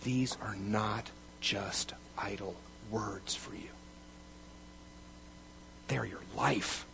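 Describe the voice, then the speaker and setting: A man, as a pastor, preaching a sermon, building to loud, emphatic declarations and then dropping to quiet, measured reading. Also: fairly low pitch, vocal fry, a little hiss or hum, low-quality recording.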